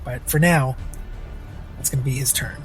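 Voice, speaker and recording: A man's voice: medium pitch, steady tone, normal speed, music in the background. A man playing a tabletop roleplaying game, casually narrating his character's actions as he thinks aloud.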